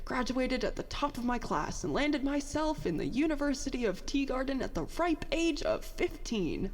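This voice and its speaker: Woman playing bitter, high-voiced young prodigy, heavy reverb. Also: sing-song, normal speed, heavily acted. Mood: wry, proud. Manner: sarcastic, self-deprecating, rambling. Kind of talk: audio drama dialogue, confessional monologue.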